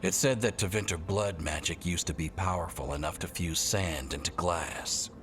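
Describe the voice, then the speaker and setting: An actor playing a serious, dependable fantasy scout leader in a game, speaking in a grave, measured manner. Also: male voice, deep voice, fairly bright tone, a little hiss or hum. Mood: grave, resolved. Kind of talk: video game voice acting.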